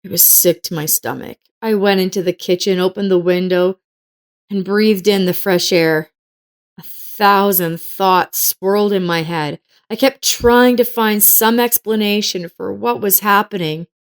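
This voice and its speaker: A woman narrates a scripted life story in the first person. Her voice sits at an average pitch for a woman, and the delivery is that of a dramatised audio story. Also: steady tone, measured pace, clean tone.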